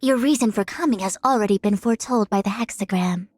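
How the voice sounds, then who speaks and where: A woman's voice: mid-range voice, fast, a little mellow, no room sound, lightly acted. A woman playing a proud young female diviner in a video game, speaking in composed, formal, lofty phrases. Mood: self-assured. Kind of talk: scripted game character voice lines. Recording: studio-dry voice over music. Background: music.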